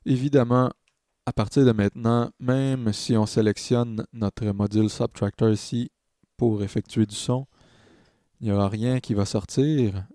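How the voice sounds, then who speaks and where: A man narrating a step-by-step software tutorial as a tutor, hesitant and thinking aloud as he demonstrates. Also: mid-range voice, steady tone, measured pace, dry recording, clean studio sound.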